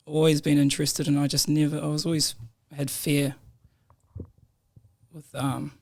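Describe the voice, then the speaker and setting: Laid-back New Zealand man, narrow pitch. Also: moderate pace, gravelly. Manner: laughs often, relaxed storytelling with slang. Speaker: guest. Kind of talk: casual podcast chat. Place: podcast room.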